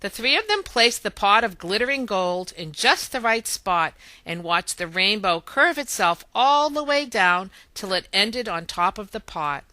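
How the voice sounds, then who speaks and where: A woman reading a children's story aloud in expressive narration. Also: medium pitch, measured pace, few pauses, no echo. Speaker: storybook reader.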